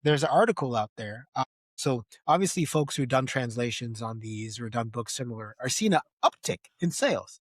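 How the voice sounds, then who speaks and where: A man's voice: medium pitch, expressive, normal speed, dry recording. Dry-sounding man chatting as podcast host. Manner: conversational, often checks agreement with "right?". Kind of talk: casual film discussion.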